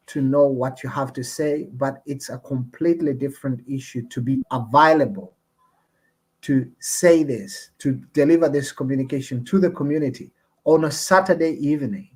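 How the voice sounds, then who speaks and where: A man's voice: fairly high pitch, a little mellow, husky. Earnest man hosting an online talk. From Uganda. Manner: earnest, appreciative, measured. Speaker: host and community representative. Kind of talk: online panel discussion. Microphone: video call.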